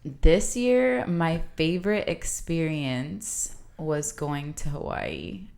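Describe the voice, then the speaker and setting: Young woman from Miami, low voice, laid-back and frank podcast guest who giggles. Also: big pitch swings, slow pace, creaky, a little mellow. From Miami, Florida. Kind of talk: casual chat.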